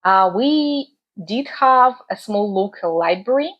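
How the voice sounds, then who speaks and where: A woman's voice: medium pitch, lively intonation, highs cut off. A woman, speaking earnestly and thoughtfully to her book channel's viewers. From Russia. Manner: earnest and measured, with frequent "uh" pauses. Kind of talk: reflective talk to camera. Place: home in the UK.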